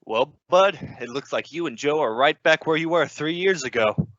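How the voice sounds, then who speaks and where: An actor playing a middle-aged father, genial on the surface and shrewdly probing, measured in an old-time radio suspense drama. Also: a little high, expressive, a bit bright, no room sound. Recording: dull, lo-fi audio.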